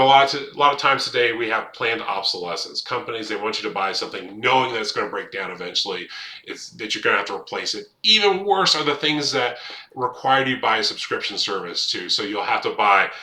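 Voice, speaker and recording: A middle-aged man hosts his own video channel with a bright, forward voice. He offers casual advice in plain words, feeling his way through sentences without a script. The room adds a noticeable echo. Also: fairly low pitch. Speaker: host of a history advice channel. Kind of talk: casual talk to camera, sharing advice. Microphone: desktop webcam setup. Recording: roomy, echoing room sound.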